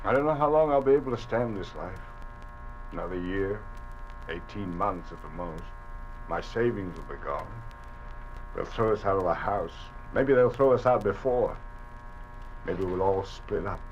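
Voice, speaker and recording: Worried dad, heavy-hearted, dull old radio sound. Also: male voice, low voice, expressive, moderate pace, long pauses. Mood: despairing. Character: worried middle-aged father facing ruin. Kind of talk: 1950s radio drama acting. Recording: muffled old radio transcription with faint hum.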